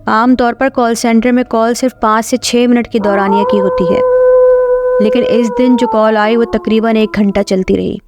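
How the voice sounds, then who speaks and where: A woman's voice: a little high, lively intonation, normal speed, very noisy. A woman reading a scripted horror story as its narrator. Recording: clear music bed under voice.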